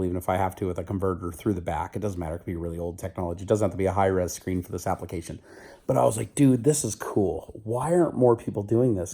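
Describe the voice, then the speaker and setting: Middle-aged guy rambling on his vlog, deep mellow voice, still kinda hoarse and stuffy. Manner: rambling, self-mocking, loud. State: slightly rough, recovering voice; stuffy nose. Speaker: vlogger. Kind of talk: casual video diary.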